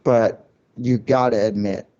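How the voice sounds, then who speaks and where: A man's voice: medium pitch, fairly even intonation, unhurried, mellow, dull audio. A man of Cuban heritage, a record label founder, talking candidly in a casual podcast interview, reflective and full of restarts. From Cuban family, grew up in a loud-talking city.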